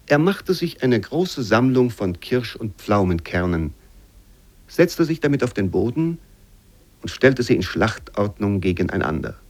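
A man reads old literary prose as a radio narrator, in a measured, formal and expressive way. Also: on the low side.

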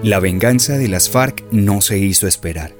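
A man narrating a radio drama from a script in a solemn, deliberate, documentary style. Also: on the low side, music in the background.

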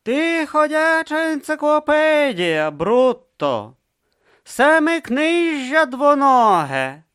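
A man voicing a stern, cold male high inquisitor who speaks slowly and severely. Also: sing-song, a bit bright, no room sound, studio quality, full character voice. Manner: slow, severe, pronouncing.

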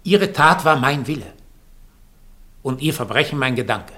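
Cold, sharp-voiced scheming double. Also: male voice, mid-range voice. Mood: cold triumph. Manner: imperious, deliberate, cutting. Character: Diego, cold calculating doppelgänger, a man who schemes death. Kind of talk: 1960s German radio play acting.

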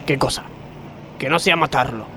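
A man's voice: medium pitch, normal speed, background noise, close to an everyday voice. A man with an Argentine accent playing an earnest, scheming factory worker in a comedy radio sketch.

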